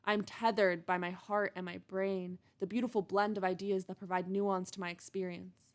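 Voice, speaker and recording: A woman reading a personal, reflective monologue in an earnest, measured, introspective way. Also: medium pitch, flat delivery. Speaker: monologue writer and reader.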